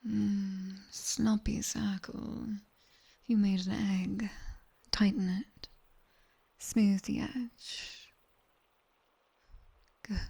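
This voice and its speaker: A British woman playing a sharp-tongued, teasing demoness tutor in a soft, whispered ASMR roleplay. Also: medium pitch, flat delivery, vocal fry, slightly rough. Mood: teasing, instructing. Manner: slow, hushed, teasing and bossy.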